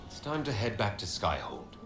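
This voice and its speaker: An actor playing a stern, serious leader in a video game, with a blunt manner. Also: male voice, fairly low pitch, big pitch swings, moderate pace. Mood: weary, regretful. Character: stern, weary commanding hero, blunt leader. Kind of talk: dramatic video game dialogue. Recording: roomy echo, music underneath.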